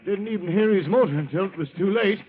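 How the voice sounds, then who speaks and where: A man's voice: high-pitched, fast, natural voice. An American actor playing a folksy, worried, helpful country man in an old-time radio drama, plain-spoken. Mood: anxious, urgent. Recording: muffled, noisy vintage radio recording.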